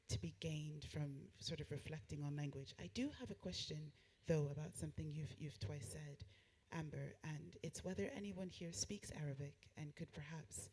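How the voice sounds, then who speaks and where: A woman speaking as a panelist in a discussion. She reasons out loud in a reflective, thoughtful way and poses rhetorical questions. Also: sits low, slightly rough.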